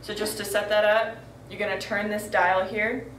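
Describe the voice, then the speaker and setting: A woman's voice: mid-range voice, flat delivery, normal speed, a bit bright. A young woman giving a casual how-to talk on using walkie-talkies, speaking directly to her crew. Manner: friendly and direct, addressing the crew. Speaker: student crew tutorial presenter. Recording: roomy, far from mic, faint noise.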